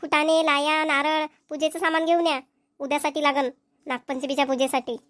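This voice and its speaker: A woman performs a village woman from a Marathi cartoon comedy with a notably high voice. She speaks in a rural Vidarbha accent and a colloquial, homely way. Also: level tone, brisk, fairly bright tone, no room sound, clean studio sound. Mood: nagging. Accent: Maharashtrian, rural Vidarbha Marathi. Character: young village women, mothers and nagging wives in a comedy.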